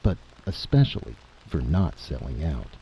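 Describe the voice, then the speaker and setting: A man voicing a brisk, slangy, cheerful army general in a story reading. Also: extremely low pitch, big pitch swings, creaky, mellow, slight background noise, exaggerated voice, rough recording. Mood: hearty, pleased. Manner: slangy, blunt, expansive. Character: brisk, happy, plain-spoken male army general.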